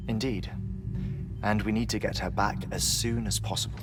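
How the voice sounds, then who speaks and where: Guy playing brainy scientist in a video game, measured and precise, lowish voice, music behind him. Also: steady tone, natural voice. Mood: measured, wary. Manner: precise, deliberate, lecturing with pauses. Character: erudite, measured scientist theorizing about extinction. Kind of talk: video game cutscene acting.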